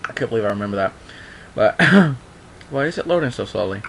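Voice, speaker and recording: A man's voice: mid-range voice, expressive, fairly quick, creaky, a bit bright, a little hiss or hum. A young man doing an informal amateur dramatic reading, dropping into casual offhand asides, recorded at home. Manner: informal, breaks off into offhand remarks. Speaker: online video maker reading a horror story aloud.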